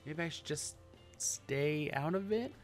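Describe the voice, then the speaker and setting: A man's voice: on the high side, lively intonation, a little slow, soft background music. A man giving casual, rambling let's play commentary and reading a visual novel aloud, easily amused. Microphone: handheld microphone on a cord.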